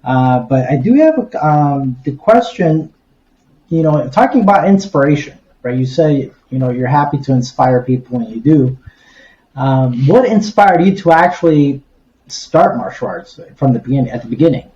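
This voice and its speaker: A man's voice: medium pitch, animated, normal speed, warm tone. A man hosting a podcast, interviewing in a casual, conversational way. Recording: roomy, dull lo-fi audio.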